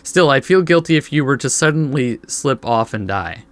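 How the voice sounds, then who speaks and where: A man's voice: medium pitch, animated, moderate pace, no room sound. A man reading game text aloud as a let's play host, adding laid-back asides.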